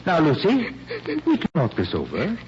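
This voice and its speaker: Concerned paternal lawyer, formal and emphatic, very animated pitch, old radio drama with muffled sound. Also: male voice, normal speed. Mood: alarmed, protesting. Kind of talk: 1940s radio acting. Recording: muffled vintage radio recording, faint hiss.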